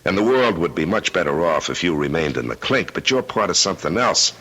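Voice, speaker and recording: An actor playing a gruff, wry, probing private investigator with a gravelly voice in an old-time radio drama. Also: male voice, deep voice, very animated, a little fast, sharp tone, full character voice. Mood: pressing, sardonic.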